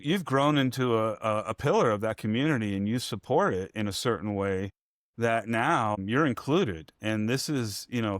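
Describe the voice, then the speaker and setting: A man's voice: mid-range voice, clean tone, no room sound, clean studio sound. A man hosting an interview, speaking in a reflective, rambling, motivational way. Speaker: podcast host. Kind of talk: conversational interview.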